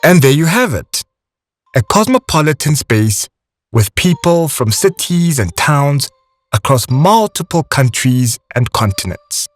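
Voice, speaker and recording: Slow, lively South African man narrating. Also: fairly high pitch, faint music behind. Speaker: podcast host. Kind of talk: scripted narration.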